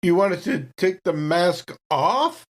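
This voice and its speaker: A woman's voice: lowish voice, lively intonation, slow. A woman improvising as a player in a tabletop role-playing game, with casual, wry joking.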